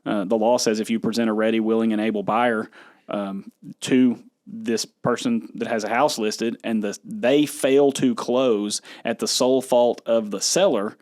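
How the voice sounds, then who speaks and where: A man's voice: lowish voice, fairly even intonation, moderate pace, a little mellow. An American man talking through legal points in a conversational podcast discussion.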